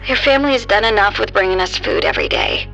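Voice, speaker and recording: A woman's voice: mid-range voice, huge pitch swings, fast talker, creaky, a bit bright, muffled recording, faint music behind, exaggerated voice. An actress playing a sick, worn-out young American woman worried about her family in an audio drama. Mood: weary, worried. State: sick, coughing, exhausted.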